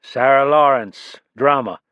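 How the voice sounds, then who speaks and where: A man's voice: mid-range voice, unhurried, fairly bright tone, clean tone, no room sound, lo-fi sound, lightly acted. A man reading the part of a curious middle-aged criminalist from Illinois who asks pointed questions. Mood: probing. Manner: probing, deductive questions. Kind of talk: audiobook dialogue.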